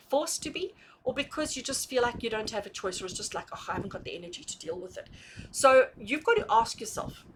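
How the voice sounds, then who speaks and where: Woman tarot host, animated and fairly high, emphatic and conversational, slight background noise. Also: low-quality recording. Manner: emphatic, repeats and rephrases points, often checks in with rhetorical questions. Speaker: tarot reader hosting a reading. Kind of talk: conversational, intuitive tarot reading addressed to viewers.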